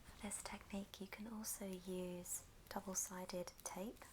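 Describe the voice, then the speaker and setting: A woman whispering a gentle, breathy, step-by-step ASMR craft tutorial. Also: medium pitch, level tone. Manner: slow, gentle step-by-step instruction. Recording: noisy background, handling clicks.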